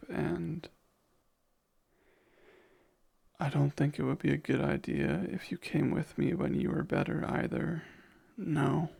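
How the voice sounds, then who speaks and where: A man playing a gentle, protective vampire caretaker in a soft ASMR roleplay, soothing and a little hesitant, with formal wording. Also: on the high side, vocal fry, slightly rough, heavily acted. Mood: reluctant, questioning.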